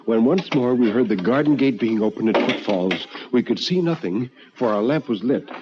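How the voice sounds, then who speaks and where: An actor playing a frightened, haunted man who tells a ghost story in a theatrical, halting way, like old radio horror. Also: mid-range voice, lively intonation, dull audio, noisy background, natural voice. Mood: fearful, agitated. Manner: theatrical, halting with stammered repeats. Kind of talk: dramatic old-time radio horror narration.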